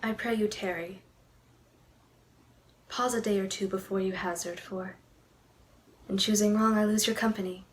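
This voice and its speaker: A woman's voice: medium pitch, fairly even intonation, normal speed, pauses often, slight background noise, lightly acted. A woman acting a lovestruck, torn young noblewoman in a Shakespearean verse monologue, with hesitant, theatrical delivery. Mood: tender, pleading.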